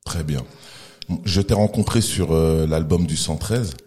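A man with a very deep voice hosts a podcast conversation. He keeps it relaxed, asking questions and nudging his guest along with short replies. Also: a little slow. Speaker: podcast host. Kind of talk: casual interview chat.

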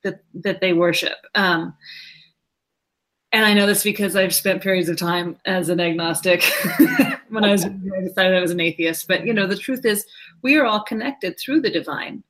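A woman's voice: medium pitch, moderate pace, a bit bright. Woman podcast guest, fluent, affirming, reflective. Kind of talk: conversational podcast interview.

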